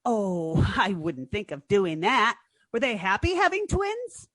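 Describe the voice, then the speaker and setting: A woman's voice: mid-range voice, lively intonation, takes it slowly, fairly bright tone, dry recording, clean studio sound. A woman playing a naive, nervous young woman in 1940s radio comedy style, hesitant and stammering. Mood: stalling.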